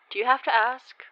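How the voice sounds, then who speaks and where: A woman in her twenties playing an awkward, self-deprecating young woman in a naturalistic audio drama, rambling haltingly with sighs. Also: a little high, dull audio. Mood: fond. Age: 20s. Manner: halting, sighing, rambling confessional. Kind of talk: naturalistic audio drama acting, phone monologue.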